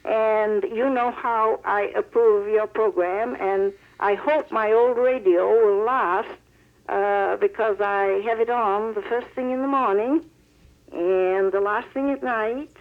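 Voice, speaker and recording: A woman's voice: medium pitch, unhurried. A woman phoning in to a radio show over a muffled telephone line, chatting casually as a listener. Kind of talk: casual phone chat.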